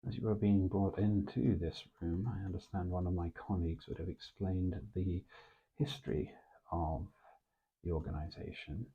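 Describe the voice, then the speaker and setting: A man acting as a composed British intelligence officer interviewing a recruit in an ASMR role play. His voice is very low and gravelly, with a lot of breath in it. He speaks formally, in a measured hush, and the sound is thin and band-limited. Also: steady tone, narrow, tinny audio. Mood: probing, formal. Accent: British English. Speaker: ASMR performer.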